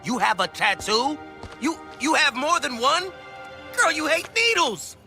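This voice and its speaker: A man's voice: very high-pitched, animated, sharp tone, soft background music, exaggerated voice. A man playing a protective, exasperated middle-aged father and hardened mercenary in a video game, emphatic and indignant. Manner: emphatic, indignant, halting when flustered. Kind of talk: dramatic video game acting.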